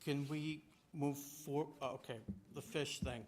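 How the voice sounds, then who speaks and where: A man making his case informally as an applicant at a public meeting, halting and repeating himself insistently. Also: a little high, steady tone. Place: indoor municipal meeting room. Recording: noisy town meeting room.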